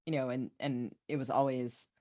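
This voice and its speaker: A woman with a low voice chats as a podcast host. She muses aloud in a tentative, reflective style, feeling her way toward each thought. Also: flat delivery, normal speed, darkish tone. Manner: tentative, musing. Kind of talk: reflective casual chat.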